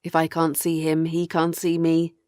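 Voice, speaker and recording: A woman voicing a captive thirty-year-old woman, weary and afraid, telling her horror story in measured, grim audiobook narration. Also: sits low, monotone, dark tone, no room sound, clean studio sound, lightly acted. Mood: bleak, tense.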